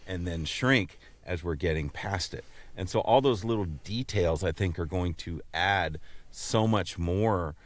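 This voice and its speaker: A man co-hosting a podcast, chatting casually in a measured, explanatory way. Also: sits low. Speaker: podcast co-host. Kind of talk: casual chat.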